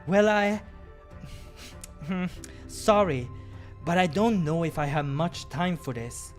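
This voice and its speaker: A man's voice: fairly high pitch, takes it slowly, pitched above the performer's own voice. A shy young man from a visual novel, acted by a male narrator, polite and hesitant. His voice sits raised and light, with music behind it. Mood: awkward.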